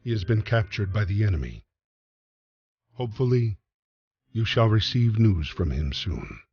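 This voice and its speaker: An actor playing the solemn narrator of a wartime story in a video game, in a scripted style. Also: male voice, lowish voice, very animated, unhurried, slightly rough, lo-fi sound, full character voice. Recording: music behind the voice.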